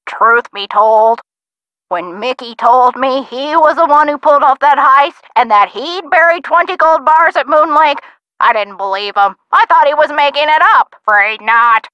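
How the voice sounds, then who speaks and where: A woman's voice: high-pitched, normal speed, no echo, lo-fi sound, natural voice. An actress playing a feisty older woman, a gangster's chatty former sweetheart in a video game, with theatrical, folksy old-time slang. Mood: gossipy, reminiscing. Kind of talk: video game character dialogue.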